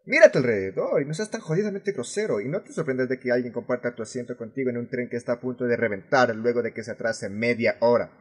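A man's voice: mid-range voice, quick pace, few pauses, husky, close to an everyday voice. A man voicing an angry, foul-mouthed expat vlogger in Latin American Spanish, sarcastic, emphatic and confrontational, in the style of a fan dub. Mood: scolding. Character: furious, foul-mouthed male expat vlogger ranting about rude tourists.

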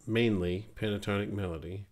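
A middle-aged man with a cold, explaining guitar arranging in a relaxed, thinking-aloud way. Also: lowish voice, fairly quick. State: has a cold. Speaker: guitar teacher. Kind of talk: casual guitar tutorial. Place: small home music room, indoors. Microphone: studio mic on stand, wearing headphones. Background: acoustic guitar playing.

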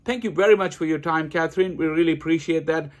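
Middle-aged male interview host, slightly high voice. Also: fast talker. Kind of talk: interview hosting. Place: indoors, room with curtains.

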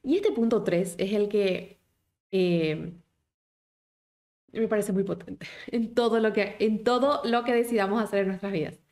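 A woman, a German teacher in a live online class who talks with lively encouragement and asks her viewers many questions. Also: medium pitch, a little slow. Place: small home office indoors.

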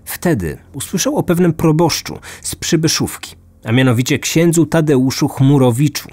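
A man's voice: a little high, lively intonation, normal speed, warm tone, no room sound. A man narrating a scripted true-crime podcast as its host. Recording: music under the voice. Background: background music.

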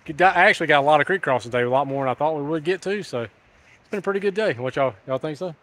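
A man's voice: on the high side, rapid-fire, a bit bright. A man in his thirties with a Southern US accent, chatting casually in a folksy way for a vlog out in the woods. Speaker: motorcycle vlogger. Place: outdoors in woods.

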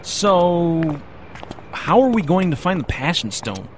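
A man's voice: on the high side, very animated, takes it slowly, a little hiss or hum, poor audio. A man playing a curious, skeptical everyman companion in an audio drama, with a casual questioning manner. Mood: puzzled, skeptical.

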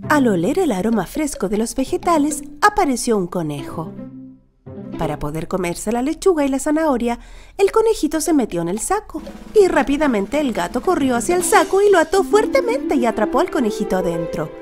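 A woman reading a children's storybook as narrator, with expressive scripted delivery. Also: high-pitched, a little fast. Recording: music behind the voice.

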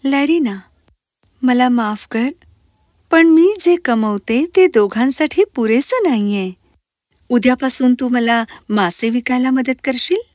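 Gentle, dutiful young sister played by a woman, cartoon fairy tale, high-pitched, earnest. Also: takes it slowly, no echo, studio quality. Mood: pleading. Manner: soft-hearted, earnest, apologetic. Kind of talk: children's cartoon voice acting.

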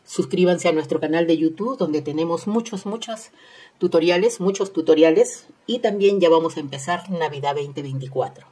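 A woman's voice: fairly low pitch, normal speed, dark tone, breathy edge. A woman hosting an informal step-by-step craft tutorial, instructing with repeated phrases and tag questions. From Peru. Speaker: host of a crochet tutorial channel.

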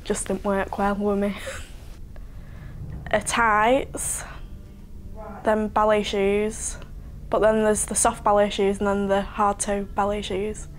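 A nineteen-year-old woman, chatting and laughing through a relaxed home interview. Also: mid-range voice, slow, long pauses, a little hiss or hum. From Leyland, Lancashire, England. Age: young adult. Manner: chatty, giggly, jokey. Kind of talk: casual interview chat. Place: living room at home, indoors.

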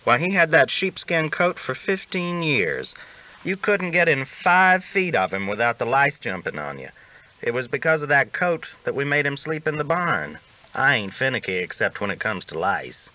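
A man's voice: medium pitch, very animated, moderate pace, muffled recording, voice pushed up, close to an everyday voice. A man voicing a talkative, teasing old Texas cowboy with folksy, drawling talk. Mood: teasing, animated.

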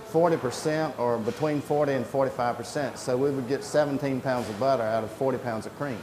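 Middle-aged male interviewee, plain explainer delivery, medium pitch, roomy industrial acoustics. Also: steady tone, a little fast. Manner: plain-spoken, step-by-step. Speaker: creamery worker explaining production. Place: indoor creamery processing room with steel tanks. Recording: echoey room, loud equipment noise.

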